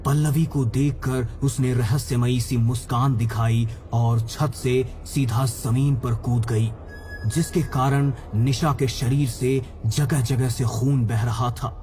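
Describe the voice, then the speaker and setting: A man's voice: medium pitch, fairly even intonation, slow, dark tone, a little hiss or hum. A man narrating a scripted horror story for a cartoon. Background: background music.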